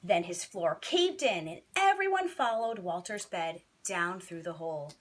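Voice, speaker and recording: A woman's voice: lowish voice, expressive, unhurried. A woman teacher reading a children's bedtime story expressively, with dramatic, emphatic phrasing.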